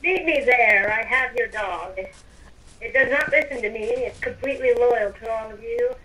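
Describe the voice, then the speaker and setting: A woman's voice: a little high, normal speed, sharp tone, a little hiss or hum, rough recording. A woman improvising in-character roleplay during a video game, with theatrical, stiffly formal phrasing. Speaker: Minecraft roleplay player.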